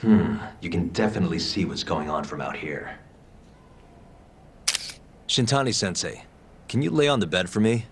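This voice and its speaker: A deep-voiced, methodical investigator. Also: male voice, moderate pace, long pauses, slight background noise. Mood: probing. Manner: calm, probing, methodical. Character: sharp young male private investigator. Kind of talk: game dub acting. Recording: game scene with music behind.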